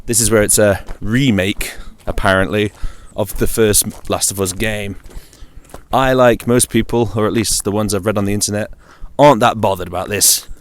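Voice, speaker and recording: A man's voice: on the low side, steady tone, moderate pace. A man with a British accent chatting informally on his own about games, in a dry, wry, jokey manner. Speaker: video game vlogger.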